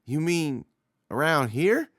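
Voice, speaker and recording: A man voicing a scared boy of about eight who talks high and shrill, with breathless yelling in an audiobook story. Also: big pitch swings, slow pace, no echo, studio quality, heavily acted. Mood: curious.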